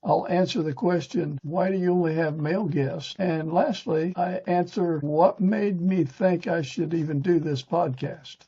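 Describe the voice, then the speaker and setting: Husky older man reading stiffly, dull recording. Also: fairly high pitch, a little slow, hardly any pauses, mellow. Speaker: podcast host. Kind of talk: scripted narration read from a teleprompter. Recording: dull, lo-fi sound.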